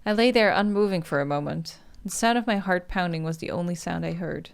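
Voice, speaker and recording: A woman's voice: fairly low pitch, fairly quick, creaky. A woman hosting a game playthrough, reading story narration aloud with casual asides. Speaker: gaming host.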